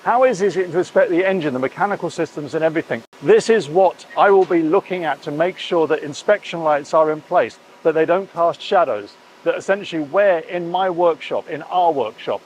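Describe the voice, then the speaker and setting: A man's voice: on the high side, fairly quick, few pauses, a little hiss or hum, poor audio. A middle-aged man giving a chatty, admiring walkthrough of his catamaran being built, exclaiming over the details. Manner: enthusiastic, admiring, chatty with frequent oohs. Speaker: boat owner touring his catamaran build. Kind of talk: casual walkthrough vlog. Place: inside a catamaran under construction at a boatyard.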